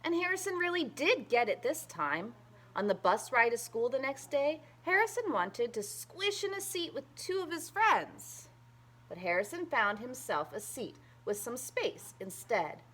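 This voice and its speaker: A woman reading a children's picture book aloud in an expressive, emphatic storytelling manner. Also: sits high, a little slow, rough recording.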